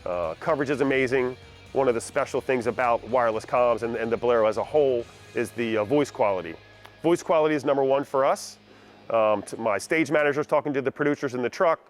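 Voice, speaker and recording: Male audio director, mid-pitched voice, interview testimonial delivery over a music bed. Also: fairly quick. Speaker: audio director for live TV productions. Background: background music.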